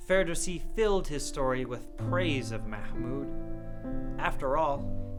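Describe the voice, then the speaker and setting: Guy doing dramatic documentary story narration, medium pitch, some music behind him.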